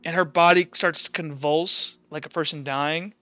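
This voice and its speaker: A man runs a tabletop roleplay, narrating as he improvises. His voice is high and forceful, recorded dry and a bit lo-fi over music. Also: fairly even intonation, normal speed. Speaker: game master.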